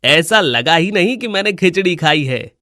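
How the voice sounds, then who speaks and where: A man playing a friendly, delighted food-stall customer who speaks effusively in an animated moral story. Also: on the high side, moderate pace, no echo, studio quality. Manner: effusive, praising.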